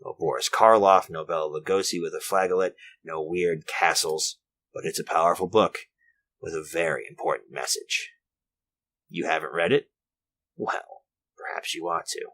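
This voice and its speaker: An actor playing an elderly, courteous and infirm inventor in a retro radio drama, speaking formally in a hesitant, rambling way. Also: male voice, low voice, steady tone, moderate pace, a bit bright. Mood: musing, apologetic. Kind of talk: retro 1940s radio drama acting, monologue.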